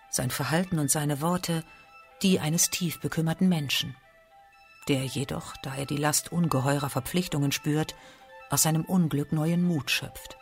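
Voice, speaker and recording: Creaky-voiced woman narrating a literary drama. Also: deep voice.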